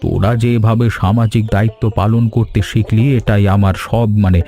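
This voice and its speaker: Man acting a deep, mellow village father. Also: steady tone, normal speed, faint music behind, close to an everyday voice. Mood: proud. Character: proud, principled village father, middle-aged. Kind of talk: animated cartoon story acting.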